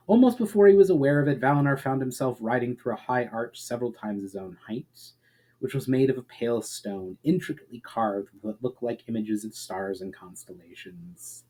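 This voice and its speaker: A man reading fantasy fiction aloud as a dramatic narrator, deliberate, with emphatic phrase openings that fall away at the ends. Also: medium pitch, mellow, low-quality recording. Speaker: author reading own story aloud.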